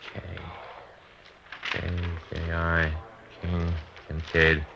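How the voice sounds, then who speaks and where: An actor playing a laconic, agreeable police detective's partner in an old-time radio crime drama. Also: male voice, low-pitched, monotone, drawn-out words, vocal fry, over loud music, full character voice. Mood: low-key. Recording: dull, noisy vintage radio recording.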